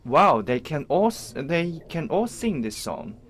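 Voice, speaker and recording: Halting Chinese-accented man interpreting live. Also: fairly high pitch, big pitch swings, fairly bright tone. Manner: halting, piecemeal phrasing with repeated false starts. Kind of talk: live interpreting of event coverage.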